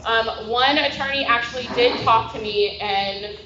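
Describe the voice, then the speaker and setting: A woman's voice: on the high side, a little slow, crowd noise. A woman giving a chatty public talk to a meeting, full of fillers. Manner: chatty, many fillers, loud voice. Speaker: guest speaker. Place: meeting hall. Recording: echoey dull hall recording.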